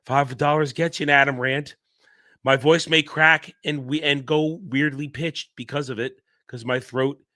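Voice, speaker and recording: A man's voice: medium pitch, pushed and bright, dry recording, studio quality. A man hosting a casual livestream, sick, congested and tired, talking bluntly and rambling with restarts. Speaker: livestream host.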